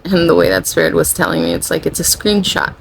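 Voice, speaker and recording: A tired, low-energy spiritual channeler, a native Spanish speaker, talking casually and rambling, often saying "you know" and correcting themself. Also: sing-song, fast talker, creaky, slight background noise, rough recording. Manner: rambling, self-correcting, with frequent "you know" and light laughter. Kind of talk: casual spiritual vlog monologue.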